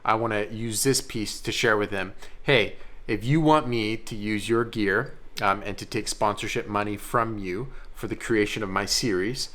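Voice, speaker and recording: A man's voice: lowish voice, normal speed, few pauses, fairly bright tone. A man talking casually and earnestly as a vlogger, feeling his way through his phrasing. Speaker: vlogger and filmmaker. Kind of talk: casual vlog chat.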